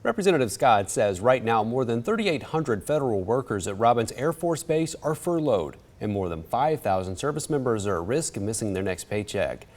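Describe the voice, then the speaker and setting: A man anchoring a local newscast, reading the news in a steady, measured way. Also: mid-range voice.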